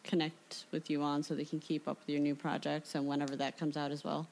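A woman with a notably low voice hosts an interview. Her delivery is informal and conversational as she talks with her guests. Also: level tone, fairly quick, gravelly, darkish tone, poor audio. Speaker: interview host. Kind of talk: casual interview chat.

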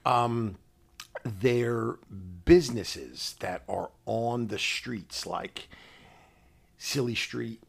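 A middle-aged man with a New York accent, casually explaining things as a podcast co-host in a measured, halting way. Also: mid-range voice, gravelly, husky.

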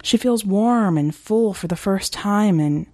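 A woman's voice: mid-range voice, unhurried, dark tone, dry recording, studio quality. A woman reading scripted fiction as a narrator for a short story podcast. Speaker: podcast editor and story reader.

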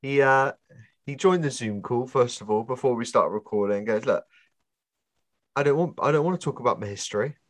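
A man's voice: medium pitch, fairly quick, no room sound. A man co-hosting a casual podcast, laid-back and chatty, with a chesty cough. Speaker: podcast co-host. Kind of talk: casual chat.

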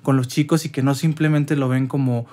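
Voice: medium pitch, fairly even intonation, a little fast, warm tone. Chatty Mexican interview host. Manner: chatty, affirming, frequent backchannel. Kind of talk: interview conversation.

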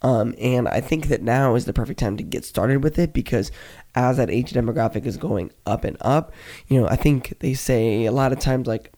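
Hoarse, raspy young man hosting a podcast. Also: mid-range voice, normal speed, dark tone. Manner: chatty, many "um" and "like" fillers, addresses listeners as guys. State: slightly hoarse voice. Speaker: podcast host. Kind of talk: casual solo podcast talk.

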